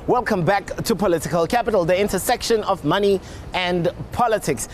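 A man's voice: high-pitched, fairly quick, music in the background. A young man presenting a scripted television news segment outdoors beside an office building.

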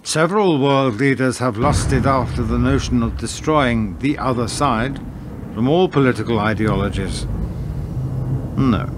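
A man acting in a radio play as a cold, calculating banker, lecturing in a deliberate, superior manner. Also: medium pitch, noisy background, close to an everyday voice.

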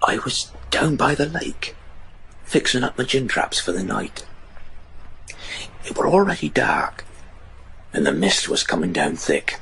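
A man playing a haunted, superstitious rural trapper in a gothic horror radio drama, with a rough, husky voice. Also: on the low side, very animated, a little slow, pauses often, bright, forceful tone, exaggerated voice, rough recording. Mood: haunted recollection.

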